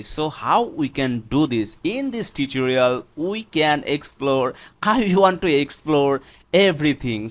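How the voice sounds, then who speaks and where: This is a man with a Bangladeshi accent leading an unscripted screen tutorial. His pace is slow and his pitch sits a little high for a man. He talks haltingly, often repeating himself as he explains each step. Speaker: tutorial presenter.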